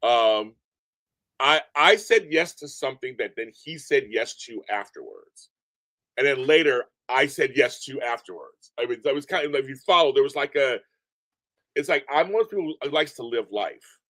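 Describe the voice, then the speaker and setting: Brief: deep-voiced male guest, casual rambling chat, dry close sound, mid pitch. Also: normal speed, dry recording. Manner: rambling, repeats himself, animated. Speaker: podcast guest. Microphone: remote call from home.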